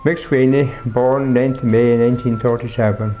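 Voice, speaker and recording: A man in his seventies gives his details hesitantly and gets muddled. His voice is mellow and mid-pitched. Faint music plays behind. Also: fairly even intonation, normal speed, rough recording. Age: 70s.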